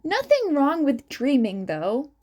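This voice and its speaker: Female audiobook-style narrator: soft-toned, expressive, lively pitch, unhurried fiction reading. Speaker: amateur audiobook reader.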